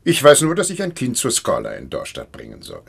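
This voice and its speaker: An actor playing a dutiful, blunt medieval bishop's messenger in a German radio play, gruff, formal and insistent. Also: male voice, mid-range voice, wildly swooping pitch, fairly quick, exaggerated voice. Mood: official.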